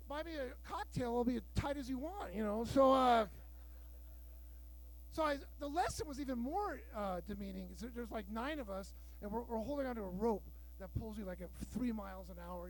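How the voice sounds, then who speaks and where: A man in his fifties performing a stand-up comedy set, telling loud, bawdy stories and acting out the dialogue. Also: very high voice, poor audio. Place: live show room with audience.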